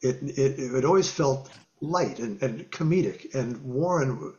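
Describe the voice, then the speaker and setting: Older man telling animated studio stories. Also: mid-range voice, measured pace, highs cut off. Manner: animated storyteller, acts out quotes and guitar sounds, laughs often. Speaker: guest musician. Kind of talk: storytelling in a casual interview. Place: home music room with guitars on the walls. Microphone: video call webcam.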